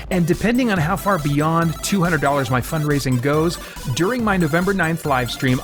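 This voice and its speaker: A man hosting a gaming channel, delivering a scripted promotional announcement. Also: on the high side. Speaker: channel host promoting a charity fundraiser.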